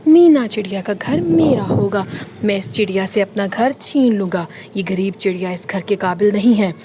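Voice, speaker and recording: A woman voicing a greedy, scheming male crow in a children's cartoon story, pushing her voice far lower than her narration. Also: normal speed, very noisy, natural voice.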